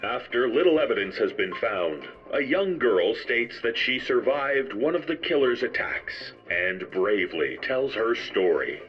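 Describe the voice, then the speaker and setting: A man playing a grave news anchor in an audio drama, announcing in a formal, measured way. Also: mid-range voice, very animated, dull audio. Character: grave male news anchor reporting on a killer. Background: music.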